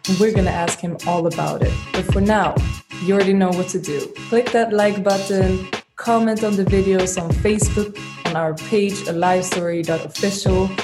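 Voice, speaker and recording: A woman's voice: mid-range voice, steady tone, normal speed, over music. A woman hosting an online interview show who presents in an upbeat, enthusiastic way and then chats casually with her guest. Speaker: interview host.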